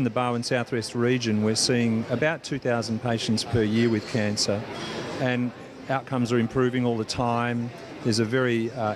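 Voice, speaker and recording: A man speaking as a cancer doctor, giving explanatory answers in a news interview. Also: mid-range voice.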